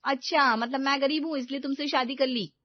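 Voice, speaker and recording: A woman's voice: high-pitched, steady tone, fairly quick, dry recording, dull audio, pitched above the performer's own voice, close to an everyday voice. A woman voicing an envious, discontented young housewife in a storybook tale, whining and exclaiming with self-pity. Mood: accusing.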